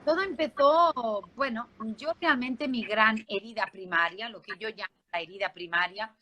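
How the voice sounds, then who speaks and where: A woman's voice: a little high, moderate pace, pushed and bright. A woman with a Spanish accent from Barcelona, telling her life story informally as an interview guest, conversational and often checking in with the listener. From Spain, Barcelona. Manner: conversational, seeks agreement with tag questions.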